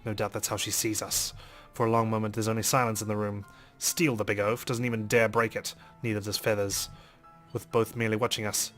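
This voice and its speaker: Let's play host: man, lowish voice, very quick dramatic reading, faint music behind. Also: flat delivery, fairly bright tone. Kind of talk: reading game narration aloud dramatically.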